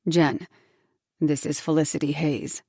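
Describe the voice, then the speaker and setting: A woman voicing a divorced father in an audiobook, speaking in a tight, controlled way, a bit lower than her own voice. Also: steady tone, unhurried, dark tone, slightly rough, dry recording, clean studio sound, heavily acted. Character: calm, firm divorced father.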